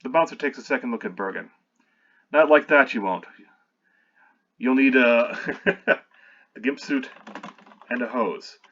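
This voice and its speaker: A man's voice: mid-range voice, measured pace, pauses often, a bit bright, lo-fi sound. A man reading his own screenplay aloud while revising it, stopping often to correct himself and add wry asides.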